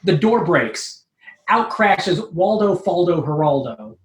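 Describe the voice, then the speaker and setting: A woman's voice: lowish voice, very animated, slow, a little hiss or hum. A woman reading a scripted comic story aloud as narrator. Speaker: troupe member reading own comic story. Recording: roomy, echoing live room.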